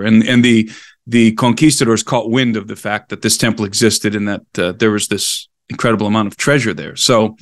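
A man's voice: lowish voice, no room sound. Man explaining history, close dry sound. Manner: explanatory, digressive, enthusiastic about the subject. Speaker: podcast guest, explorer and researcher. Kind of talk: conversational historical storytelling.

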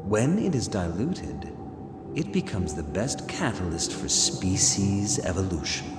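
Echoey, low-voiced male lore narrator. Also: big pitch swings, measured pace, over loud music. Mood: grave, dramatic. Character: grave male storyteller of ancient dragon wars. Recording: echoey voice under loud background noise.

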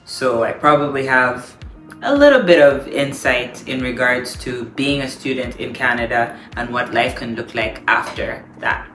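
A woman in her thirties from Uganda, a pastor giving lively, emphatic advice on a couch, repeating phrases for stress. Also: a bass voice, a little slow. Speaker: pastor and guest. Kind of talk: casual advice talk. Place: living room, on a couch. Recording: roomy echo, faint music.

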